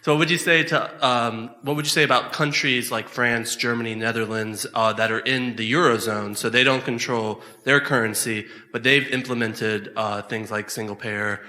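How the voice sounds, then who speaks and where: A young man in the audience asking a question, hesitant with many fillers before speaking up loudly. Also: mid-range voice, fairly even intonation, normal speed, hardly any pauses. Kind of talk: question from the floor. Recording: echoey hall, distant mic, faint noise.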